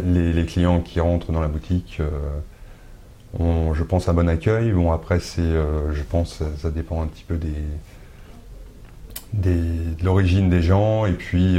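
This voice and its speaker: A man with a very deep, mellow voice answers interview questions. He speaks in a flat, even tone and searches for words often, filling pauses and restarting his sentences. He is a shop owner from Brittany. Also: slight background noise. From Brittany, France. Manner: hesitant, many filler pauses and restarts.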